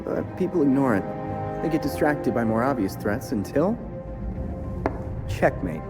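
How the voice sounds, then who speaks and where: An actor playing a bright, playful, earnest young hero in a video game cutscene. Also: male voice, mid-range voice, very animated, unhurried, over loud music. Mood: playful, confident.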